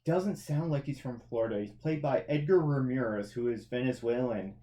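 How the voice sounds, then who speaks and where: A man's voice: mid-range voice, fairly quick, mellow. A man from Canada talks through his movie and TV picks in a loose, chatty podcast review. He rambles and corrects himself mid-sentence, circling back to finish his thoughts. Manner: rambling, self-correcting, chatty with frequent restarts. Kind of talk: casual review chat.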